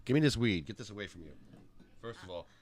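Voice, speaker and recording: A man's voice: on the low side, animated, quick pace. A man hosting a casual cannabis talk show in a friend's home, joking and playfully bossing his guest around. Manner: joking, bossy, playfully scolding. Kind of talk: casual teasing chat. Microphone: studio microphone with headphones.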